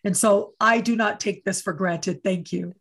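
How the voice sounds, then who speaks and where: A woman's voice: mid-range voice, steady tone, a little slow, creaky, a bit bright, husky. A woman hosting an online interview show, welcoming her guest in an effusive, enthusiastic way. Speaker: host.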